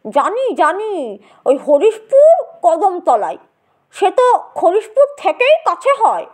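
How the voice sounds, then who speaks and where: A woman voicing a genial, know-all elderly village gentleman in an audio story, chatty and persuasive. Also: very high-pitched, takes it slowly, voice pushed up, full character voice. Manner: calm, chatty, knowing, persuasive.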